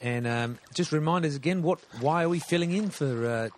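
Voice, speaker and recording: A man's voice: fairly high pitch, brisk, voice pushed up, lightly acted. Guy doing a laid back aussie surfer dude, matey rambly podcast banter, big accent, noisy background. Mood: matey rambling. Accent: exaggerated Australian. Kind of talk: improvised comedy podcast banter.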